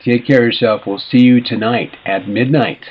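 A man's voice: mid-range voice, fairly even intonation, moderate pace. A man hosting a live radio show in a casual, rambling, jokey way, with hesitations and frequent chuckles. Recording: dull lo-fi radio sound, clear background noise.

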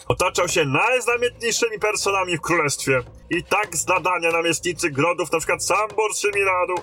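An actor playing a blunt, battle-worn fortress captain in a fantasy audio drama, giving orders with authority. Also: male voice, sits high, pushed and bright. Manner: commanding, barking orders.

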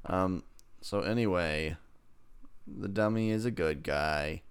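A man's voice: deep voice, slow, smooth. A man co-hosting a podcast, chatting casually about a TV episode in a teasing, jokey way. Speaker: podcast co-host. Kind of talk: casual TV episode review chat.

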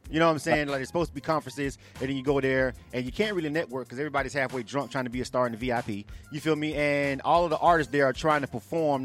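Guy hosting radio interview, talks fast n slangy, keeps checking you follow, bit of music under. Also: medium pitch, a bit bright. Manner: rambling, colloquial, repeatedly checking listener follows. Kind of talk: casual conversational radio interview.

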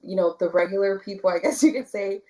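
A woman from the Rio Grande Valley in Texas, a guest talking casually in an interview, soft-spoken with many fillers and restarts. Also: medium pitch, fast. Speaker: guest, spiritual candle maker.

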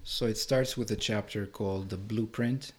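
A man from Greece explaining his work in an interview, hesitant and halting, often stumbling over words. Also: on the low side, steady tone. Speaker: interviewed artist and filmmaker.

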